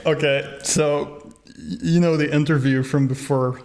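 A man's voice: on the high side, fairly even intonation, a little slow, a little mellow. A man co-hosting a casual movie discussion in a wry, conversational way. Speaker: movie podcast co-host.

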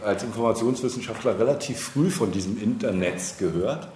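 A man's voice: fairly low pitch, a little fast, darkish tone. A man in casual conversation as an interview guest, searching for words and speaking with dry humour. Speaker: interview guest, political candidate. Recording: roomy echo with background noise.

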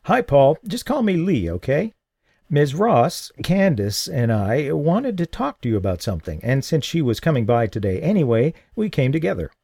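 A man's voice: fairly high pitch, sing-song, moderate pace, gravelly, mellow, slightly rough, no room sound, studio quality, pitched above the performer's own voice, exaggerated voice. A man voicing a firm, direct radio host. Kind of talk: audiobook reading.

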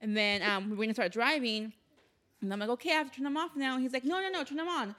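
An American woman with a fairly high voice chats as a podcast co-host. She explains herself through everyday anecdotes, acting out bits of dialogue in a conversational way. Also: quick pace, a bit bright. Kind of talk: casual anecdotal chat.

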